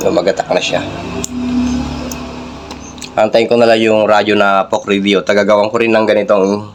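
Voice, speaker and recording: A Filipino man with a fairly low voice walks viewers through a hands-on tech demonstration. His delivery is informal and explanatory, with halting phrases. Faint background noise sits behind his voice. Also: little pitch movement. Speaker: vlogger, radio hobbyist.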